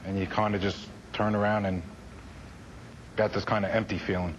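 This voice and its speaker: A man's voice: fairly low pitch, little pitch movement, moderate pace, dull audio. A man with an American accent recalling his work as an air traffic controller in an interview. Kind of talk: first-hand recollection in interview.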